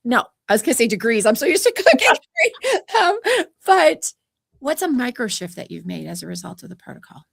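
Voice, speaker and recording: A woman hosting a podcast talks in an upbeat, chatty way and laughs easily. Her soothing voice carries a gravelly fry. It sounds close and dry, with no room around it. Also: fairly high pitch, big pitch swings, normal speed, no echo. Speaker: podcast host. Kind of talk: conversational podcast interview.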